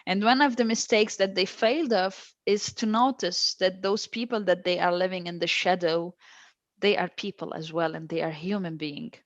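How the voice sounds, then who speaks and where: A woman's voice: on the low side, fairly even intonation, normal speed, no echo. A woman, a guest who answers with emphatic, repeated phrases. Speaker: guest, stateless-rights advocate. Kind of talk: earnest interview answers.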